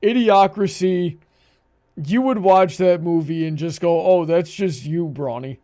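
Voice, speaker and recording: A man hosting a livestream in casual conversation, talking haltingly and repeating words as he goes. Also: high-pitched, mellow.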